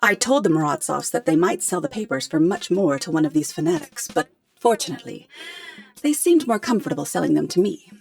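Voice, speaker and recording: An actress playing a poised, articulate professor in a radio drama, explaining things in a measured, polite way. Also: female voice, fairly low pitch, very animated, gravelly, full character voice. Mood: concerned. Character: poised, articulate female professor hiring a detective.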